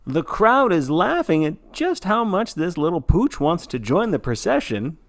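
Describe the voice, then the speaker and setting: A man's voice: sits high, sing-song, a little slow, heavily acted. A man playing a jovial, showy sports broadcaster who calls grim events with blithe, folksy cheer in play-by-play style. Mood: amused. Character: jovial male sports broadcaster of a dystopian death march.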